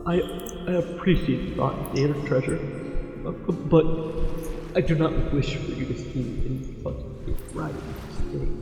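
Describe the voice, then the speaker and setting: A man voicing a possessive, courtly merman monster in an ASMR roleplay, tender yet menacing, with slow, formal, old-fashioned speech. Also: on the high side, gravelly, a little mellow. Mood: flustered, apologetic. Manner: slow, formal, archaic diction with lingering pauses. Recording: cavernous echo and long reverb, music behind.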